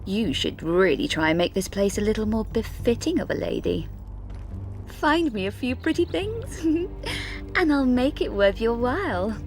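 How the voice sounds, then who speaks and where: A woman's voice: a little high, very animated, unhurried. An actress playing a vain, flirtatious and greedy noblewoman in a video game, with a sultry, theatrical drawl. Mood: playful, flirtatious. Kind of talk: video game character acting. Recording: game music behind.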